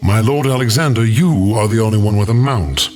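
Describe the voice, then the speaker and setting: A man's voice: fairly low pitch, darkish tone, natural voice. A man playing a wise, loyal, dignified companion in a fantasy audio drama, speaking formally with dry patience. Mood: measured, assured. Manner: measured, formal, dryly patient. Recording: voice over background music.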